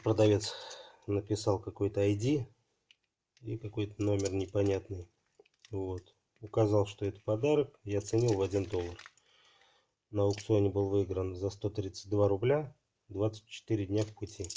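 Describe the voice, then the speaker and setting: Low, mellow man with a cold. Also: fairly even intonation, a little hiss or hum. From Russia. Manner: halting, thinking aloud, many fillers like "so" and "there". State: voice affected by a cold. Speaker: channel host unboxing parcels. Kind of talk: casual unboxing commentary.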